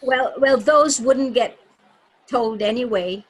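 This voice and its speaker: Woman participant, slow and halting, video call audio. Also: on the high side, low-quality recording. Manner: hesitant, searching for words. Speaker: webinar participant. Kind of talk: casual discussion on a video call.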